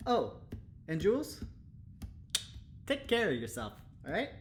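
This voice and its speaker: A woman's voice: lowish voice, very animated, unhurried, background noise. A woman acting as a cheerful, chatty, over-familiar spaceship engineer in an audio drama, with a breezy, rambling, teasing manner. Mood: friendly, apologetic.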